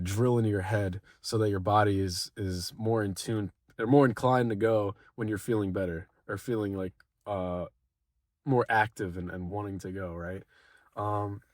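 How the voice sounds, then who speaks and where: A sick young man vlogging casual gym advice, sounding a bit raspy and nasal, rambling with lots of fillers and swearing. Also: mid-range voice, no echo, studio quality. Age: young adult. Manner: rambling, laid-back, swears often, lots of "like". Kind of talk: casual motivational vlog.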